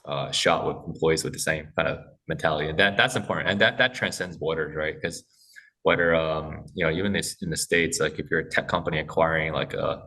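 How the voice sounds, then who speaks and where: Halting low-voiced man, bright tone. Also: recorded from a distance. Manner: halting, many repeated starts and fillers. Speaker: guest, corporate development head. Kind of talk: casual podcast interview.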